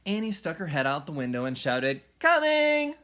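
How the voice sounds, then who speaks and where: A man reading a children's story aloud in an expressive, dramatic way, moving between hushed and raised delivery. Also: medium pitch, brisk, slight background noise. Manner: animated, dramatic, with hushed whispers and shouts. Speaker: read-aloud storyteller, music teacher.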